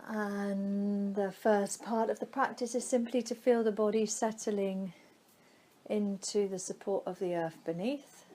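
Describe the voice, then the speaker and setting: Slow-speaking woman guiding a relaxation meditation. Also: medium pitch, steady tone, dark tone. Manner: soothing, unhurried, with long pauses between phrases. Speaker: yoga nidra guide.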